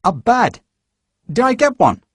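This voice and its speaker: A man voicing a big, wary Irish recruit with an Irish accent, speaking low and slow. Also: wildly swooping pitch, husky, no room sound, studio quality, full character voice.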